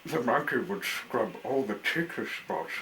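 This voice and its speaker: A man reading a children's story aloud as a storyteller, in deliberate, broken phrases. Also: mid-range voice, animated, a bit bright, slight background noise, rough recording.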